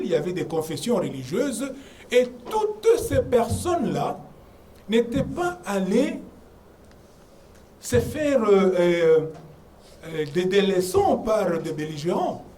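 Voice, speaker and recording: Animated man lecturing a conference. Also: high voice, unhurried. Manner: emphatic, lecturing, with insistent repeated words. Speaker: conference debate speaker. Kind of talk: argued public talk on the constitution. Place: conference room. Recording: distant mic with faint room noise.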